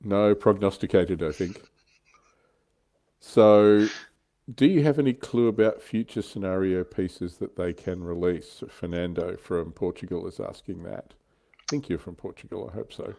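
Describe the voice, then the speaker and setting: Deep, mellow middle-aged man chatting. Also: a little slow, creaky. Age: middle-aged or older. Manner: tongue-in-cheek, bantering. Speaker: livestream co-host. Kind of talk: casual panel chat about tabletop wargaming.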